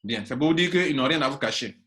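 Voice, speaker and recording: A man's voice: mid-range voice, lively intonation, quick pace, a bit bright. A man from Ivory Coast with an Ivorian accent and Baoulé as his first language, giving an informal online training talk in an emphatic, repetitive way full of rhetorical questions. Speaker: network marketing coach.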